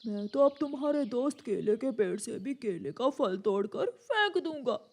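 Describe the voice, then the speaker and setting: A woman's voice: a little high, sing-song, normal speed. A woman voicing a naughty young male crow in a cartoon moral story, with a whiny, theatrical delivery. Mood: gleeful taunting. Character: naughty young male crow, mocking, later whining and remorseful. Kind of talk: Hindi animated moral story acting.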